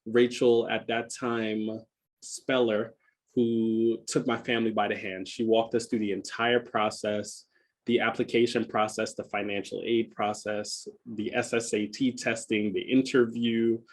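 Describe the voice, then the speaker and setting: A man's voice: on the low side, level tone, a little slow. A man in his thirties from Jamaica who grew up partly in Philadelphia, telling his story reflectively as an interview guest, sometimes repeating and correcting himself. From Jamaica, moved to Philadelphia as a child. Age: 30s. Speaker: podcast guest, school enrollment director.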